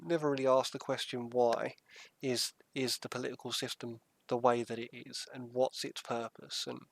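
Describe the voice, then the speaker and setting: A man's voice: medium pitch, vocal fry, no room sound. A British man co-hosting a casual podcast, easygoing and jokey, with the odd filler as he sets up the topic. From United Kingdom. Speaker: podcast co-host. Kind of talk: casual chat.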